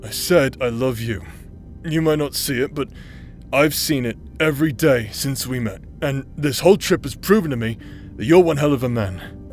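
A man's voice: medium pitch, normal speed, darkish tone, faint music behind, close to an everyday voice. A man playing a cocky, teasing adventurer in an audio roleplay, with a drawling, playful manner. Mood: earnest. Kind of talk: dramatic audio roleplay acting.

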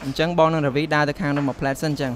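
A man's voice: fairly high pitch, flat delivery, very fast, lightly acted. A man playing a young, playful know-it-all journalist in a radio drama. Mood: teasing, explaining. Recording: noisy outdoor street ambience.

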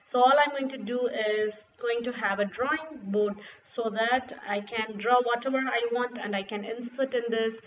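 Woman with a fairly high voice, dull lo-fi sound. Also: normal speed, few pauses, a bit bright. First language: Urdu. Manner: explains step by step, frequent fillers and restarts. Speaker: tutorial presenter. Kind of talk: screen-recorded software tutorial. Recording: dull lo-fi sound with faint noise.

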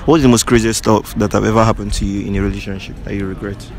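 A man's voice: lowish voice, steady tone, fast talker, a little hiss or hum. A young man doing a teasing, pushy street interview outdoors with a handheld mic. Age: young adult. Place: outdoors on a busy campus street. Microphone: handheld clip mic.

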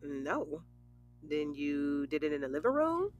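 Woman acting as sassy best friend, very animated. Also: deep voice, measured pace, highs cut off, faint music behind. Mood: teasing. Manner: blunt, sassy, slangy. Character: brash, teasing, nosy young best friend. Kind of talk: audio drama dialogue.

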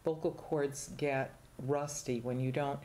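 Older woman voice teacher, deep and mellow, unhurried, rambling explanations, faint studio hiss. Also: steady tone. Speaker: singing teacher, guest. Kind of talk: casual explanatory chat. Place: small TV studio, indoors.

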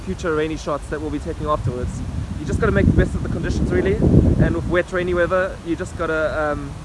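Man, thirties, quick upbeat talk, slightly high voice, camera at arm's length, loud wind and traffic. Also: fairly even intonation. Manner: upbeat, quick, talks to camera while filming. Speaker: photography vlogger. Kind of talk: casual outdoor vlog talk. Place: rainy city rooftop and street at night, outdoors. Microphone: camera held at arm's length. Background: loud wind, rain and traffic.

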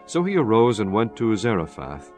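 A man narrating a dramatized Bible from a script in a solemn, measured storytelling manner. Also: lowish voice, huge pitch swings, a little mellow, soft background music.

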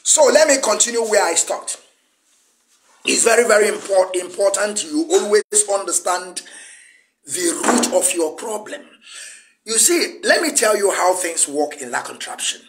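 Nigerian man, 40s, Igbo first language, high-pitched, emphatic political speech to camera in a small room. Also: lively intonation, moderate pace, fairly bright tone, slight background noise, rough recording. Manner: emphatic, lecturing, gesturing a lot. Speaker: activist commentator.

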